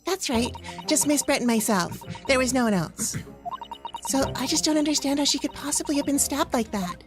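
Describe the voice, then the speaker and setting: A woman's voice: high voice, lively intonation, moderate pace, over music. A woman voicing a cheerful young man recounting a stabbing he witnessed, reading game lines in a breezy, playful way. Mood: earnest.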